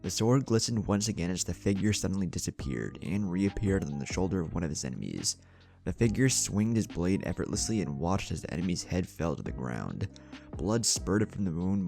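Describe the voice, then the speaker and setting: A man's voice: low voice, vocal fry, a little mellow. A man narrating a scripted action story in a dramatic storytelling style.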